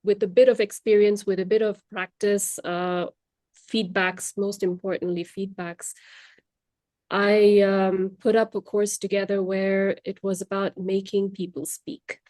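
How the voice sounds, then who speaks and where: A woman's voice: medium pitch, level tone, a little slow, a little mellow, no echo. A young adult woman, Tamil native, talking about her work as a language trainer in a reflective, chatty way, with fillers and restarts. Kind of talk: casual interview. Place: Luxembourg.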